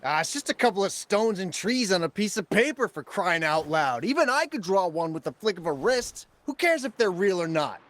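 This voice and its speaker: Brash greedy crook from a game, high voice, all over the place, dismissive and blustery. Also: male voice, big pitch swings, normal speed, hardly any pauses, fairly bright tone. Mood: scornful. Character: brash, greedy male crook running an art forgery racket. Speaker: game villain. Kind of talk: video game voice acting.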